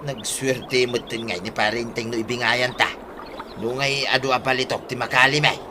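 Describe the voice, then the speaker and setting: A man's voice: medium pitch, little pitch movement, moderate pace, noisy background, natural voice. A man with an Ilocano accent playing a sly, bossy village treasure hunter in a comedy drama, scheming and eager. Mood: urgent, scheming. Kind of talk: Ilocano comedy radio-style drama acting.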